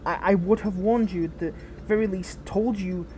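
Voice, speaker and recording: A woman playing a protective, bitter but caring fallen hero in an audio roleplay, speaking intimately and earnestly with halting, dramatic pauses. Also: medium pitch, dark tone, faint music behind, close to an everyday voice. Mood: bitter, regretful. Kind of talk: scripted audio roleplay addressed to the listener.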